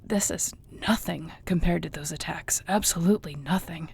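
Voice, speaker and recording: A husky, gravelly orc warrior girlfriend acted by a woman, low in pitch. She is gentle and content, talking softly and unhurriedly to her beloved in an intimate audio roleplay, often close to a whisper. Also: heavily acted. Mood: tender, grateful. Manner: soft, tender, unhurried, often near a whisper.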